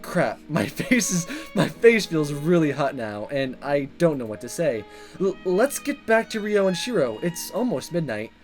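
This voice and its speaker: A man's voice: on the high side, big pitch swings, normal speed, soft background music. A man voicing a friendly, awkward teenage schoolboy in a visual novel, earnest and easily flustered. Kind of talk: visual novel dialogue read-through.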